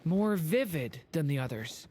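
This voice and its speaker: An actor playing a gentle, earnest young dragon boy in a video game, sincere and polite. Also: fairly high pitch, very animated, a little slow, a little hiss or hum. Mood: thoughtful. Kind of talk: video game character acting.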